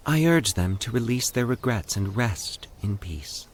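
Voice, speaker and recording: An uncanny, serene woman as a male narrator puts her on speaks for the dead in a horror tale. The delivery is a measured, soothing monotone, raised well above the man's natural register. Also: mid-range voice, voice pushed up. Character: calm, eerie woman who hears the dead's regrets.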